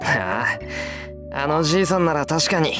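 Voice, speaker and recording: A man's voice: fairly high pitch, expressive, quick pace, music in the background, lightly acted. A man voicing a good-natured teenage schoolboy in a visual novel, speaking bluntly and informally. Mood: easygoing.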